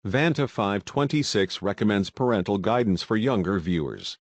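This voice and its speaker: A man reading a content disclaimer in a flat, even, informational way. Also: fairly low pitch, normal speed. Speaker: disclaimer narrator.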